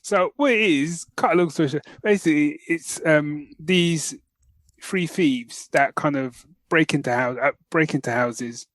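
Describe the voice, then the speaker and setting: A man co-hosting a podcast, telling stories in an animated, slangy, casual review style. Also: on the high side, measured pace, no room sound. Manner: animated storytelling with slangy asides. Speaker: podcast co-host. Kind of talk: casual chat, film review.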